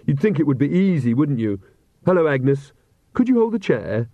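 A man acts out a young queen and new mother from a storybook, earnest and easily indignant. Her pitch sits a little above his usual talk, and she speaks in a brisk, prim, fussy way. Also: sing-song, no room sound, phone-quality sound. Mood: flustered, chatty.

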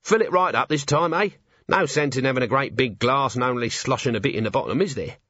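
A man's voice: mid-range voice, fast, a bit bright, no room sound, muffled recording, close to an everyday voice. A man voicing a tipsy, artless, uncouth little man with a working-class English accent in an audiobook. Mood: cheeky, content. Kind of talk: audiobook character acting.

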